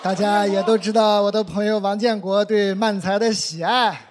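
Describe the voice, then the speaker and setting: A man's voice: high voice, steady tone, normal speed, a little hiss or hum. A middle-aged man hosting a comedy show on a studio stage, introducing the next act in an easygoing way. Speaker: show host. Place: large indoor studio stage. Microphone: handheld mic.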